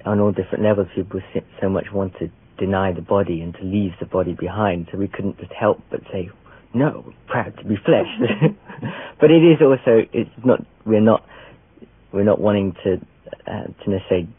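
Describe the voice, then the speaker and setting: Male editor and artist, husky, very low, hesitant delivery. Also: a little fast. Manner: hesitant, many ums and restarts. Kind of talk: interview answers. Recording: tinny phone-like radio sound.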